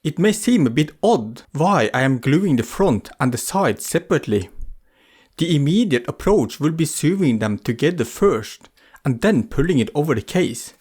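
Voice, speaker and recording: A man's voice: fairly high pitch, big pitch swings, moderate pace, a little mellow, husky. A man giving an explanatory voice-over for a craft tutorial. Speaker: craft vlogger narrating a tutorial.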